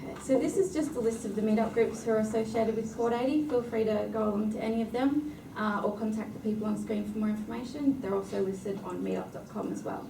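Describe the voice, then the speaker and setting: Woman host with a gravelly, echoey voice. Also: on the high side. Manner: brisk, reads housekeeping notes with many "uh" fillers. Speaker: meetup host. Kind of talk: announcements and speaker introduction. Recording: echoey room from far off with background noise.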